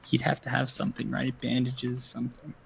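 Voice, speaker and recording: Man, mid pitch, vocal fry, tabletop player, casual game chat. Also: flat delivery, fairly quick, a little hiss or hum, rough recording.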